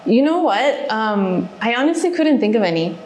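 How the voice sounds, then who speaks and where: A woman's voice: fairly high pitch, very animated, a little mellow, slight background noise. Thoughtful woman in echoey room. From Mexico, raised in Alaska. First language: Spanish. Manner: thoughtful, pausing with fillers. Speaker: guest, reservations manager. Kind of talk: casual interview answers. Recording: echoey room, faint ambience.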